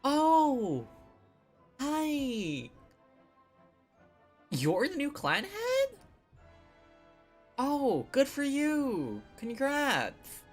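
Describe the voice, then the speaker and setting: A woman's voice: high-pitched, wildly swooping pitch, very slow, pauses often, soft background music. A woman giving casual live commentary as a game streamer, playful and reactive.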